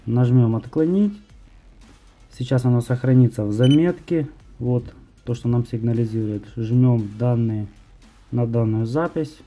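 Young man with a very dark, mellow voice. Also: medium pitch, measured pace, highs cut off, soft background music. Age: young adult. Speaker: host of a phone tips channel. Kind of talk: tutorial narration to viewers.

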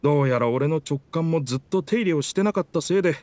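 A man voicing a proud, boastful talking pirate ship in a Japanese game dub, with theatrical, rough masculine speech. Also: on the high side, lively intonation, fast talker, a little mellow, dry recording, lightly acted. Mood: reflective. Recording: music under the dialogue.

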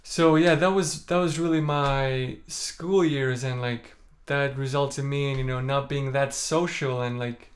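A young man from Estonia, a native Estonian speaker, calmly telling a personal story to the camera in a small indoor room, full of "like" and "you know". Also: medium pitch, unhurried, few pauses. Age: young adult. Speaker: vlogger. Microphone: camera in front at chest framing.

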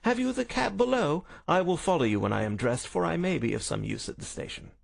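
A man's voice: fairly high pitch, lively intonation, brisk, gravelly, voice pushed up, exaggerated voice. A man voicing a brilliant, self-assured detective in a storybook reading, wry and theatrical, with precise, lofty courtesy. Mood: apologetic. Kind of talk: dramatised audiobook reading.

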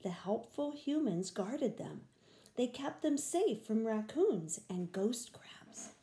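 An older woman reading a children's picture book aloud in a deliberate, expressive way, stressing key words. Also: fairly high pitch, roomy sound, a little hiss or hum. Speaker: read-aloud storyteller. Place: small room at home, indoors.

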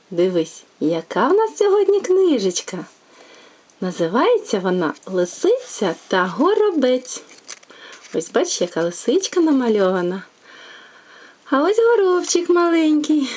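A woman storyteller reading a fairy tale to a small child, gently and expressively, speaking directly to the young listener. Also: high-pitched, huge pitch swings, moderate pace.

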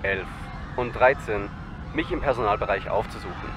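An actor playing an unhinged but blithely calm airline captain making a deadpan cabin announcement in a parody audio play. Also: male voice, lowish voice, moderate pace, over music, lightly acted.

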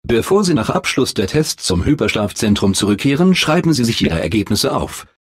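An actor voicing a polite, unflappable automated announcer in a video game, with formal, measured, deadpan official delivery and a blandly upbeat temper. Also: male voice, medium pitch, husky, no echo, clean studio sound. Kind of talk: scripted game announcements, German dub.

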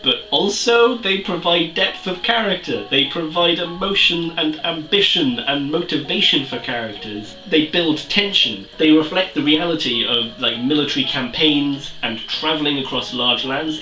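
A man's voice: on the high side, bright, forceful tone, far from the mic. A man in his twenties with a Welsh accent, chatting animatedly and self-mockingly in a casual book review vlog. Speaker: book vlogger. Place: small room with bookshelves, indoors. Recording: roomy echo, mic set back.

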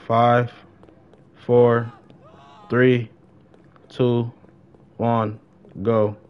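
A man's voice: mid-range voice, completely flat delivery, drawn-out words, muffled recording, a little hiss or hum. A young adult man chatting casually on a gaming livestream in slangy, informal speech with plenty of swearing. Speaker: game livestreamer.

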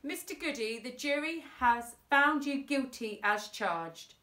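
A woman's voice: high voice, expressive, unhurried, bright, forceful tone, distant mic, pitched above the performer's own voice, full character voice. Woman as pompous, stern judge, roomy echo. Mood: stern, indignant. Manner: pompous, scolding, emphatic. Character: stern, indignant judge passing sentence on a criminal. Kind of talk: children's storybook character reading. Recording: roomy, echoey indoor sound.

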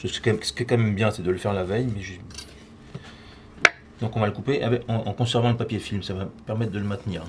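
Low-voiced chef in a noisy kitchen. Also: male voice, fairly even intonation, fast. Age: middle-aged. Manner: informal, talking while working with his hands. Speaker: chef demonstrating a recipe. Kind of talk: casual step-by-step cooking demonstration. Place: professional restaurant kitchen, tiled, indoors. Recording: clearly noisy kitchen with clattering dishes. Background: loud kitchen ambience, clattering pans and cutlery.

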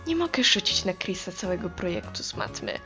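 A sarcastic teenage monster girl played by a woman in a comic fan dub. Her brusque delivery swings widely in pitch over background music. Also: wildly swooping pitch, fairly quick, bright, forceful tone, full character voice. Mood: gruff, exasperated. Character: brash, blunt teenage monster schoolgirl.

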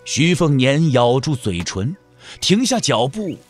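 Animated male narrator over music. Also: mid-range voice, slow. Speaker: audio drama narrator. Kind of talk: scripted literary narration of a martial-arts novel.

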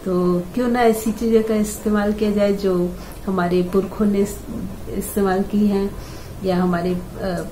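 Slow, hoarse older woman. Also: medium pitch, fairly even intonation, mellow. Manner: unhurried, chatty, frequent hesitations and throat clearing. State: hoarse throat. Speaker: host. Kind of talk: casual home-remedy tutorial. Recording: echoey room with faint ambient noise.

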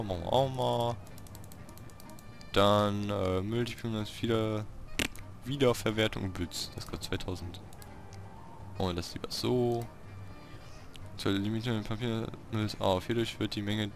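A man giving casual gameplay commentary, talking to himself in short, halting remarks. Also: fairly low pitch, vocal fry. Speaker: let's player hosting a city-building game series.

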